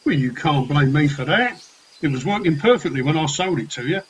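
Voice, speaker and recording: A man's voice: on the high side, expressive, a little fast, slight background noise, natural voice, rough recording. A man playing a cheeky, defensive dodgy dealer in a comic radio play. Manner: cheeky, colloquial.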